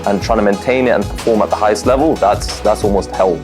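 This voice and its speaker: Casting note: young streamer, low voice, candid and animated, music underneath. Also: male voice, quick pace. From Lebanon. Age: young adult. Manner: animated, candid, lots of fillers. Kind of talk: personal interview storytelling.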